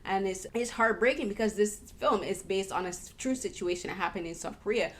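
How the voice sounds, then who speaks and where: A young woman hosts a film interview at a medium pitch. She talks earnestly and thoughtfully, often stopping to rephrase mid-sentence. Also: brisk. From Barbados. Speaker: podcast host and interviewer. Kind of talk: casual conversational film interview.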